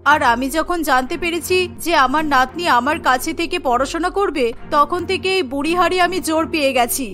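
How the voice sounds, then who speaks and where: A woman voicing a kindly, protective old village grandmother in a cartoon story, affectionate and gently admonishing. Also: high voice, a little fast, faint music behind. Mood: fond. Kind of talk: cartoon character acting.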